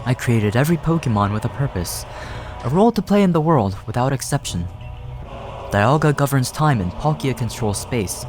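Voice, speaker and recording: A man's voice: mid-range voice, lively intonation, normal speed, a little mellow, lightly acted. Man voicing a lofty, self-assured creator god. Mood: solemn, dismissive. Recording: game music behind the voice.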